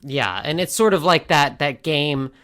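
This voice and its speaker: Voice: mid-range voice, expressive, unhurried, fairly bright tone. A podcast co-host trading jokes in casual fandom chat, with some hesitant trailing fillers.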